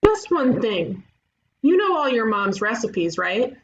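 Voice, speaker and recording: A woman playing a breezy, blunt detective in an animated comedy, casual and matter-of-fact. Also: on the high side, takes it slowly, dull audio. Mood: businesslike. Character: breezy, blunt female detective running a ghost agency. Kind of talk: animated comedy table read.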